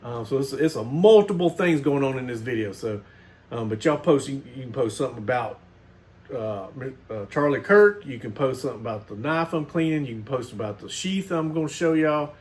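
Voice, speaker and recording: A man's voice: medium pitch, animated, fairly quick, darkish tone. A man, hosting a casual knife video and rambling to his viewers with lots of fillers and restarts. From Missouri, USA. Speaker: knife channel host.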